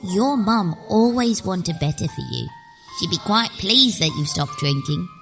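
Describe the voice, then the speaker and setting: A woman's voice: medium pitch, lively intonation, measured pace, fairly bright tone, soft background music. A woman playing a stern, eerie little girl who runs a supernatural lift, prim and imperious. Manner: prim, imperious, crisply commanding. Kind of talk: live audio horror drama. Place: theatre stage, live performance.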